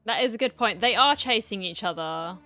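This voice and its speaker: Bright-voiced woman gaming streamer. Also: medium pitch, expressive, brisk. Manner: wry, chatty. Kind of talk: casual reaction commentary. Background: faint game music.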